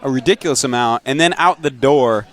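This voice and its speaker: A man's voice: mid-range voice, brisk, a bit bright. A man with a New Hampshire accent giving live color commentary on an esports match, chatty and enthusiastic, breaking down the players. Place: packed event studio.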